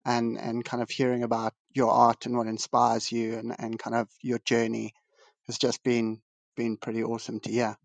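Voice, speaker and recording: A man from Zimbabwe, a guest on a video call, tells his story in a loose, modest ramble and keeps circling back on his own words. His voice sits mid-pitch and stays flat. The sound is dry and close. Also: moderate pace, creaky, no room sound. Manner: rambling, self-restarting, modest. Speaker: guest artist. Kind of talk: casual video-call interview. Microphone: video call over a weak connection.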